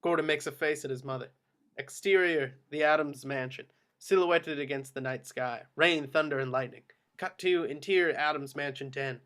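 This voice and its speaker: A man's voice: on the high side, moderate pace, fairly bright tone. A man reading screenplay action descriptions as scripted narration at a table read. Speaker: reader of stage directions.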